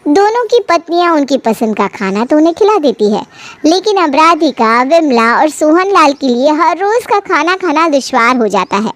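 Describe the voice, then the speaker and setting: A woman narrator with a high voice tells a domestic bedtime story. The delivery is scripted storytelling for animation. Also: big pitch swings, moderate pace.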